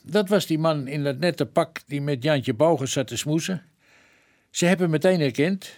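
A man's voice: a little high, moderate pace, darkish tone, natural voice. A man playing a folksy, plain-spoken police detective who defers to his inspector in a radio drama. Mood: eager, puzzled.

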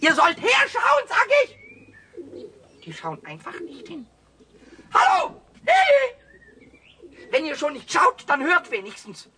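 A man playing a cheeky, childish little kobold in a 1970s children's radio play, squeaky and piercing, stammering over repeated words, excitable and stubborn. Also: takes it slowly, long pauses, heavy background noise, heavily acted. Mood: excited, indignant. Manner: stammering word repetitions, singsong rhyming.

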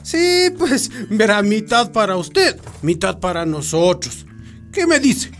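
A woman's voice: medium pitch, very animated, slow. A woman playing a sly, pushy young swindler in a radio drama, wheedling and repeating herself in street slang. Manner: wheedling, repetitive colloquial street talk. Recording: music bed behind the voice.

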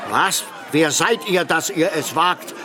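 An actor playing a blunt revolutionary executioner, brusque yet servile, in a German radio drama. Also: male voice, on the high side, takes it slowly, noisy background. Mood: brusque, impatient. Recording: outdoor crowd and music bed behind.

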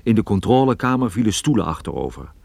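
An actor playing a wry private detective who narrates his own story in a measured, scripted radio drama style. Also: fairly low pitch, very animated, creaky, a little mellow, dry recording, full character voice. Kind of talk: scripted first-person radio play narration.

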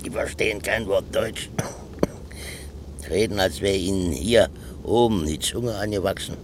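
A very low, gruff older man's voice, over clear background vehicle noise. He is a stubborn grumbler in a radio drama, curt and bossy in his remarks. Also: unhurried. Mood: grumbling. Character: grumbling, stubborn old man who poaches fish. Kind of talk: German radio play dialogue.

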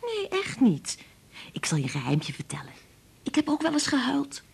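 An actress playing a kind, patient woman scientist and protective mother in a Dutch radio play, explaining things in a measured way. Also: high-pitched, wildly swooping pitch, vocal fry, heavily acted. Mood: soothing.

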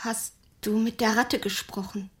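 A shaken, incredulous woman in a radio crime drama. Her voice is fairly high as she questions her detective. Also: fairly even intonation, measured pace, fairly bright tone. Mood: hushed worry.

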